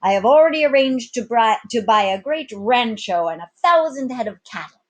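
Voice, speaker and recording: A woman's voice: on the high side, animated, normal speed, pitched above the performer's own voice. Woman playing a grandiloquent, commanding pirate captain. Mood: proud, inviting. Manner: commanding, florid, oratorical. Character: grandiloquent male pirate captain addressing his crew.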